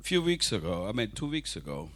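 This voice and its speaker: A man whose first language is Tamil, preaching a sermon in English in a church, speaking in halting phrases with restarts for an interpreter. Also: lowish voice, huge pitch swings. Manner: halting, restarts, rising intensity.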